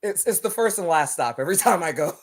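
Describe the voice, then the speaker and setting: A man's voice: high-pitched, fast talker. A man from Barbados, a wrestler guest on a podcast, talking casually and telling stories with lots of laughter and repeated words. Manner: animated storytelling, laughing often, repeats words.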